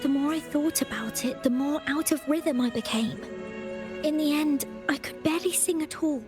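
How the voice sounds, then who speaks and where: A woman's voice: sits high, moderate pace, natural voice. An actress playing a timid, earnest young female choir singer in a game, speaking in a hesitant, shy way. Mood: shy, troubled. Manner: hesitant, shy, soft-hearted. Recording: music behind the voice.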